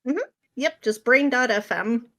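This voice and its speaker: A woman's voice: a little high, a little slow, sharp tone, slight background noise. A woman chatting casually as an interview guest, answering questions in an informal, self-deprecating way. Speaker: interview guest, script writer.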